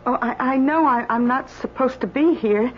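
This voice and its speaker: An actress playing a timid, anxious woman in a radio drama, halting and pleading. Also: high-pitched, background noise, lightly acted. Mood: anxious, hesitant. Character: anxious, timid woman who witnessed an accident. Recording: muffled, slightly humming radio recording.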